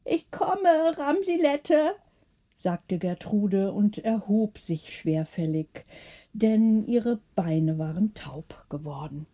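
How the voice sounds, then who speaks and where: A woman narrates a bedtime story in a very mellow, relaxed voice. Her tone is husky and breathy, and she reads at an unhurried pace in scripted audiobook style. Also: fairly low pitch.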